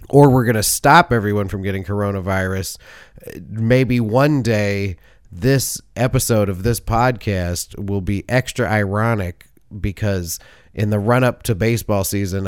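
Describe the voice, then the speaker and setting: Smooth-voiced joking podcast host man. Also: lowish voice. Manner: rambling and jokey, with self-corrections. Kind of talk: casual sports podcast monologue.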